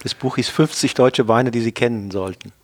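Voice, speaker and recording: A man's voice: mid-range voice, normal speed, no echo. A man hosting a wine podcast, reading a friendly outro with recommendations and links. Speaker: podcast host.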